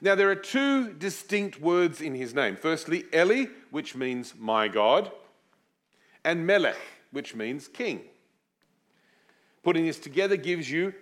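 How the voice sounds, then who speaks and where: A man preaching a teaching sermon. He explains scripture in a chatty way, with asides that poke fun at himself. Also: mid-range voice. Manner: animated, swooping emphasis on key words; chatty, with self-deprecating asides. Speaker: preacher teaching a series on a Bible book. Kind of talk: sermon with Bible teaching and prayer.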